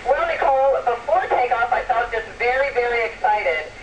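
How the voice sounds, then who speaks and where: A woman's voice: a little high, moderate pace, low-quality recording. A woman astronaut answering questions in plain, simple terms over an amateur two-way radio link. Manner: plain, simple explanations, signing off with radio procedure. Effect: two-way radio transmission. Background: radio noise.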